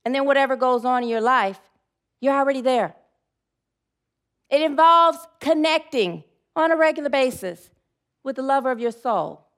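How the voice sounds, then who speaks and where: High-voiced woman preaching a sermon. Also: expressive, moderate pace, long pauses, fairly bright tone. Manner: talkative and rambling, leads congregation in call-and-response affirmations.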